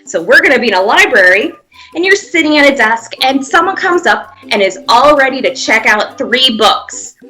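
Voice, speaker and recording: A woman's voice: sits high, very animated, normal speed, sharp tone, faint music behind, poor audio. A woman guiding young children through pretend play, giving simple encouraging step-by-step prompts with playful sound effects. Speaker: children's museum presenter leading a pantomime activity.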